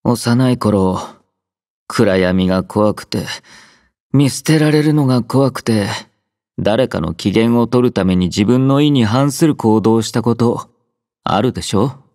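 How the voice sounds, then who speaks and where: A man voicing an aloof, laid-back young soldier in an anime-style game, quietly caring, with dry, understated teasing. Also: medium pitch, a little slow, no echo, lightly acted. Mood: tender, sincere. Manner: languid, dryly teasing, understated. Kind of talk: Japanese anime-style game character voice lines.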